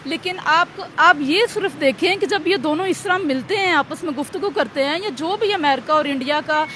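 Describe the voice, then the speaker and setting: A Pakistani woman with an extremely high-pitched voice gives her opinions in a street interview. Her delivery is earnest and halting, with light outdoor city noise around her. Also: a bit bright. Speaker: member of the public being interviewed.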